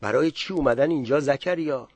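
A man's voice: medium pitch, no echo, close to an everyday voice. A man reading the lines of an anxious, poor village man who pleads in a raised voice. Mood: uneasy.

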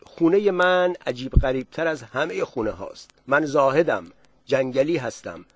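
A man's voice: medium pitch, lively intonation, dry recording. A man reading the lines of an eager, boastful village singer and drummer. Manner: boastful, insistent.

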